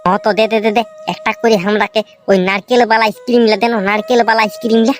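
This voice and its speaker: A man's voice: high-pitched, little pitch movement, fast, faint music behind, natural voice. A man voicing a demanding, indignant villager who heckles, in a comedy cartoon, with a Purulia Bengali accent. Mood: indignant, pushy. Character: pushy, indignant villager cadging ice cream.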